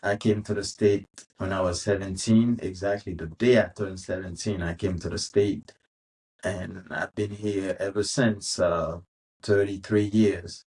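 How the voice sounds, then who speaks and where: A man in his fifties from Haiti with a Haitian accent, a guest telling his life story in a relaxed, unhurried way. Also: low-pitched, poor audio. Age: 50s. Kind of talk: casual interview storytelling.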